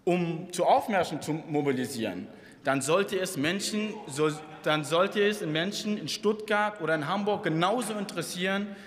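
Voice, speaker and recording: A man's voice: high-pitched, normal speed. A young man from eastern Germany giving a combative, impassioned parliamentary speech at a lectern microphone. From Saxony, eastern Germany. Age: young adult. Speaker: member of parliament. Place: large parliament chamber. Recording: reverberant chamber with audience murmur.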